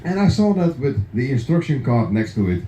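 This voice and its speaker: A middle-aged Dutch-accented man demonstrates his craft, cracking jokes and chuckling between remarks. His voice is very dark and his pitch swings lively. The recording is echoey and a bit dull, as in a workshop. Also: fairly quick. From Netherlands. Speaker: clog maker. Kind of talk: live craft demonstration for visitors.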